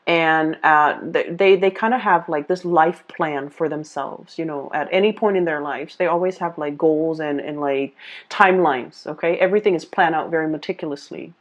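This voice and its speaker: A woman tarot reader speaking casually and off the cuff to viewers, often pausing and repeating herself as she searches for words. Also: sits low, muffled recording. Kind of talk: casual, improvised tarot reading addressed to viewers.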